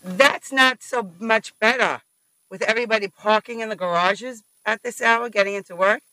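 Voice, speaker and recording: A hoarse man, chatting as a passenger in a car and laughing often. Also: high-pitched, moderate pace, sharp tone, dry recording, poor audio. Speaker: rideshare passenger. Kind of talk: casual chat. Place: inside a car.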